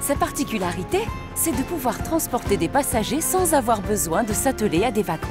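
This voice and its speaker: A woman's voice: on the high side, sing-song, fairly quick. A woman reading scripted narration for a French children's cartoon as storyteller. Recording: music behind the voice.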